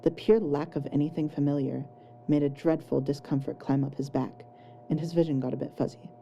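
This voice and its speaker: A woman's voice: low voice, steady tone, a little fast, creaky, dull audio. A woman narrating a scripted fan fiction story as an audiobook-style reader. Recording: home recording with faint noise.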